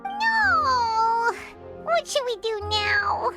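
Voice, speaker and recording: A woman voicing a small, excitable, whiny fairy companion with a squeaky childlike voice in a game. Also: very slow, full character voice. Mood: dismayed. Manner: exclaiming, dramatic. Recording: music playing behind the voice.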